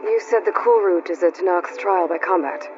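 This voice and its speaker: A woman's voice: fairly low pitch, normal speed, natural voice. A woman playing a guarded young champion in a video game, terse, blunt and resolute in her delivery. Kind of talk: dramatic video game dialogue. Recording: dull game audio under loud music.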